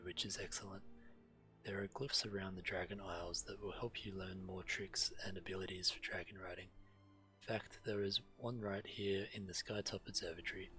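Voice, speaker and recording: A man's voice: deep voice, bright, forceful tone, low-quality recording. A man reading game quest text aloud and commenting casually, sometimes stumbling and correcting himself. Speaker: game streamer.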